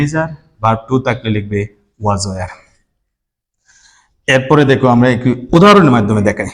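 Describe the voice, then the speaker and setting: Man, grammar teacher, from Bangladesh, brisk, talks to students, repeats rules. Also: mid-range voice. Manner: addresses students directly, repeats rules step by step. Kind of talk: classroom grammar lesson to students.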